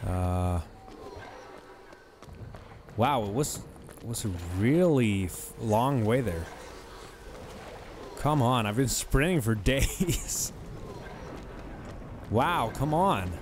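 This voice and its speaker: A hoarse man giving casual let's-play commentary, thinking aloud as he plays. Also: medium pitch, expressive, slight background noise. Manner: slow, rambling, thinking aloud.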